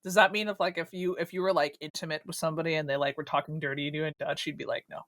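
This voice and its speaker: A man's voice: a little high, steady tone, very fast, fairly bright tone, no echo, studio quality. A man co-hosting a gaming podcast in casual chat. He teases his co-host with crude jokes and laughs a lot.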